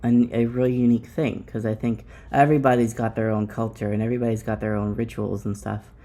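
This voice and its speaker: A man conducting a casual family interview, speaking haltingly and rephrasing as he goes. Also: fairly low pitch, level tone, mellow.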